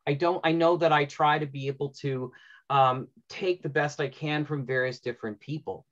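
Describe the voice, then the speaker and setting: Middle aged guy hosting a chatty panel over video call, kinda lo-fi sound, thinks out loud. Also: on the high side, fairly even intonation, normal speed. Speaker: panel host and audio drama writer. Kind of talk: informal panel talk. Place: indoor room at home, on a video call. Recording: dull lo-fi video call audio.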